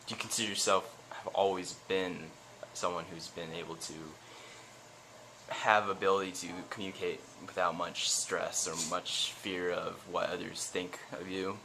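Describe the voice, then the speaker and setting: A student interviewer asking halting, wordy questions. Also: deep voice, fairly even intonation, sharp tone, slight background noise, low-quality recording. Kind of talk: class project interview.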